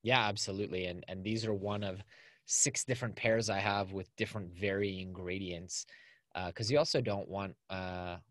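A man's voice: sits low, fairly even intonation, normal speed, no room sound. A man, talking as a podcast guest in an upbeat, chatty way over a video call. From California. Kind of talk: casual conversational interview. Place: small home studio with acoustic foam.

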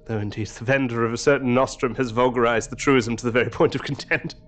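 A man's voice: medium pitch, fairly even intonation, fast talker, a bit bright, lightly acted. A man playing a asylum inmate, scholarly, pedantic, eerily polite, audio drama, music underneath. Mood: lucid, unsettling. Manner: ornate, pedantic, precisely phrased. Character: courteous, scholarly male asylum patient with unsettling obsessions.

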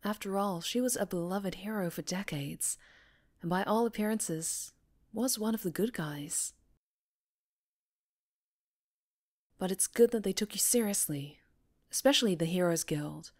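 A woman's voice: mid-range voice, moderate pace, a little mellow, lightly acted. Woman performing a female talk show host, mid-range voice, reassuring, articulate, presenter polish, dramatic ASMR roleplay. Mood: earnest, showy.

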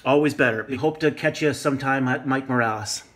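A man's voice: mid-range voice, fairly quick, a bit bright. A man making a casual, friendly phone call, talking emphatically and repeating his phrases. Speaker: caller.

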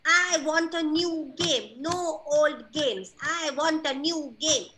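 A woman's voice: high voice, slow, noisy background, pitched above the performer's own voice. A woman doing a whiny little boy duckling. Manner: pleading, repetitive, bursts of excitement.

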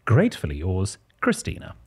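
Man, 30s, from Bristol, low voice, breathy husky tone, crisp dry delivery, studio podcast mic. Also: wildly swooping pitch, normal speed. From Bristol, England. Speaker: podcast co-host. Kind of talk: casual chat and reading listener letters. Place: small podcast studio, indoors. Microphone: close studio mic on boom arm, headphones.

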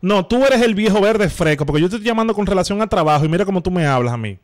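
A man's voice: high voice, expressive, racing. A young man playing a needy, persistent construction worker asking for work on a prank phone call, speaking into a close studio mic. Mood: indignant. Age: young adult. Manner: persistent, pleading, easily offended. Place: home studio room. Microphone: close studio microphone on boom arm.

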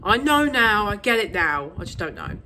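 A woman with a croaky voice from a cold, chatting casually and rambling through a travel vlog with frequent ums. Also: medium pitch, sing-song, normal speed, sharp tone, slight background noise. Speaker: travel vlogger.

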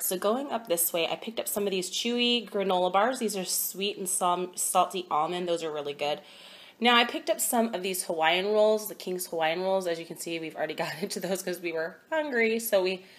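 A woman in her thirties, hoarse from a cold, chatting casually through a grocery haul at home with many ums. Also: on the low side. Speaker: vlogger. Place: at home, by a table.

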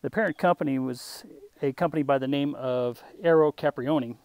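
A man presenting a restored vintage motorcycle for sale, talking in an unhurried way with a touch of humor while the camera circles the bike outdoors. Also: medium pitch, a little mellow, clean tone, no room sound. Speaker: classic motorcycle dealer. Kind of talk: off-camera sales walkthrough.

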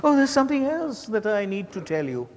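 A man's voice: high-pitched, big pitch swings, brisk, darkish tone. An older man acting a gossipy witness confiding suspicions in a theatrical dramatized stage reading. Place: large hotel ballroom stage. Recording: echoey hall, picked up from the audience.

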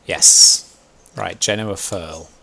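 A man's voice: low voice, steady tone, very slow, vocal fry, pushed and bright. A young man leading a sailing club game session on a headset mic, chatty, laughing often and thinking aloud as he plays. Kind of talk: casual instructive chat while gaming.

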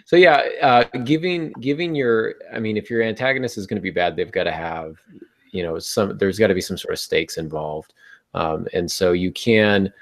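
A man's voice: deep voice, creaky. A middle-aged man hosting a casual podcast discussion about writing over a video call. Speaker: podcast host. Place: small home room, indoors. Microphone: earbud headset on a video call.

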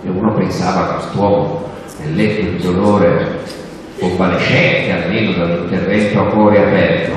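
A man's voice: on the low side, slight background noise. An older man speaking at a panel, sarcastic and mocking, building his points toward punchlines while gesturing with a handheld mic on stage. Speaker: journalist speaking at a book fair panel. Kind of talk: sarcastic public talk to an audience. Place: large hall on stage. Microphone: handheld microphone, recorded from the audience. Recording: echoey hall from far off.

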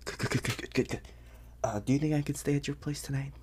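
A young man playing an anxious, bewildered teenage boy turning werewolf in an ASMR audio roleplay, speaking haltingly in a husky, breathy half-whisper. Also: medium pitch, fairly even intonation, darkish tone, natural voice. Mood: nervous.

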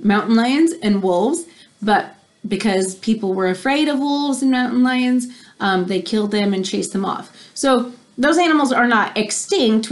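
An American woman in her thirties reading a children's nonfiction book aloud at home, pausing to explain things simply like a teacher. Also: mid-range voice. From United States. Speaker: read-aloud host for children. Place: small room at home, indoors.

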